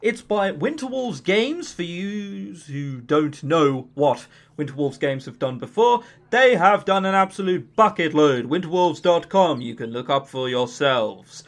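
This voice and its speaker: An informal video reviewer with a very lively, swooping delivery. The talk is blunt and opinionated, with swearing and comic overstatement, and runs like partly scripted commentary. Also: mid-range voice, unhurried, hardly any pauses. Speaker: visual novel reviewer and channel host.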